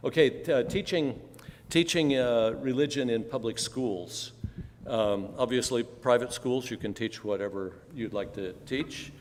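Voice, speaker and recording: A man's voice: mid-range voice, a little slow, a little hiss or hum. A man moderating a panel discussion, speaking hesitantly with many fillers. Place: church sanctuary. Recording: long church-hall reverb.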